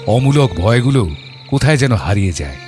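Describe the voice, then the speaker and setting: A man narrating an audio story as a thoughtful father, in a measured, literary storytelling manner. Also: mid-range voice, big pitch swings, over music, close to an everyday voice. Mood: reflective. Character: thoughtful middle-class father telling his story.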